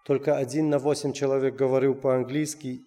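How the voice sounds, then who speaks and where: Halting Russian-speaking man in a hall. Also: medium pitch, little pitch movement, dark tone. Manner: halting, many restarts and fillers. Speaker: missionary telling a testimony. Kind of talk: personal testimony. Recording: long hall reverb.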